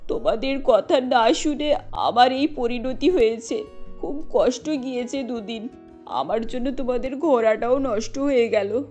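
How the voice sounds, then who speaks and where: Woman doing a bossy old cheapskate mother in law, cartoon, high voice, music behind. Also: a little slow, darkish tone, close to an everyday voice. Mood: weak, remorseful. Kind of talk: cartoon dialogue acting.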